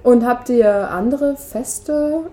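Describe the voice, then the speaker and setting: A woman's voice: fairly high pitch, slow, mellow. A woman from Germany hosting a casual interview, asking encouraging questions with fillers.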